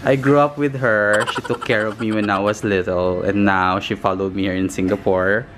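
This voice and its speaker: A woman's voice: rumbling low, steady tone, moderate pace. A woman from the Philippines, vlogging casually and chatting to the camera on a handheld phone. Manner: chatty, giggly, addresses the camera. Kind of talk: casual vlog narration. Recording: loud background noise, passing vehicles.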